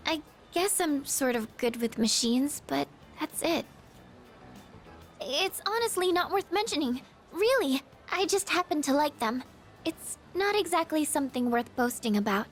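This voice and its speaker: A woman acting a shy, gentle, self-doubting teenage girl in an English video game dub, speaking hesitantly and apologetically. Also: sits high. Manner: hesitant, apologetic, soft-spoken.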